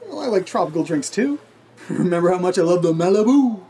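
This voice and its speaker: An erratic, oddly chipper male housemate in a horror audio drama. His delivery is very lively in pitch, childlike and breezy, then abruptly insistent. There is faint noise behind him. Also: brisk. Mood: giddy. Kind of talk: naturalistic audio drama dialogue.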